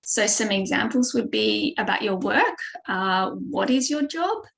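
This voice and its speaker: A woman's voice: medium pitch, expressive, unhurried, creaky, fairly bright tone, low-quality recording. An Australian woman giving a webinar lesson as a speaking tutor over a video call mic, explaining steadily with frequent ums. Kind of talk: online webinar presentation.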